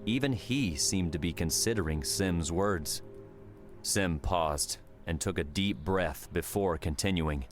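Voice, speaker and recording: Very deep, slow male narrator. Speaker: audiobook narrator. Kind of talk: dramatic scripted narration. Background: music.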